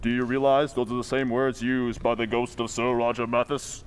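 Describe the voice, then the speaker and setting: A man plays an unseen crime-fighting avenger in a radio play. He speaks in a medium-pitched voice, commanding and ominous, like a stern accuser. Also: completely flat delivery, moderate pace, clear, resonant tone, close to an everyday voice. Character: invisible male avenger, stern, knowing, accusing. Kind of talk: old-time radio drama acting.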